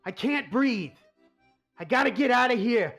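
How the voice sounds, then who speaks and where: A gruff, short-tempered mob boss played by an actor in a comic radio play. The voice sits at a middling pitch and talks in tough, irritated slang, like someone under constant stress. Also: animated, a little slow, fairly bright tone, faint music behind. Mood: panicked. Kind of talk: comedic radio play acting.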